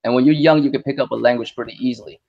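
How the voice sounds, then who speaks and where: A young man from Vietnam, a Vietnamese native speaker, chatting as an interview guest in an animated, slangy way. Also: mid-range voice, racing, creaky, breathy edge, muffled recording. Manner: animated, slangy, lots of "you know what I mean". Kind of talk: casual interview chat.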